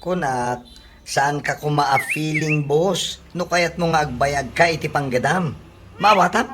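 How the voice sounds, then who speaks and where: A man's voice: on the high side, measured pace, natural voice. A man with an Ilocano accent playing a blunt, chiding co-worker in a comedy radio drama. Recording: noisy ambience behind radio drama dialogue.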